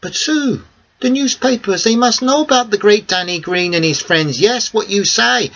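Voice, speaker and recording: A man voicing an old cafe owner, friendly and excitable, speaking broken English with lots of "ah" and "eh". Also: high voice, normal speed, pitched above the performer's own voice, exaggerated voice. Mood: eager. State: a bit out of breath. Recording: dull lo-fi recording.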